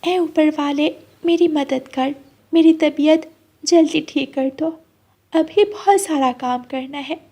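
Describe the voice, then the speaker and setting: A woman voicing a gentle, hardworking young female bird in a cartoon, polite and pleading. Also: high voice, takes it slowly, pitched above the performer's own voice.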